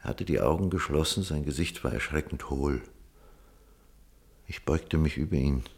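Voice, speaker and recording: A man playing a reserved, cynical middle-aged doctor in a German radio drama, speaking in a measured, formal way. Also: very deep voice, darkish tone. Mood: grave narration. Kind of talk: German radio drama acting with first-person narration.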